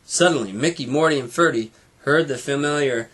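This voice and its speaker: A man's voice: medium pitch, brisk, fairly bright tone, slightly rough. A man narrating a children's storybook expressively, like a teacher reading to his students.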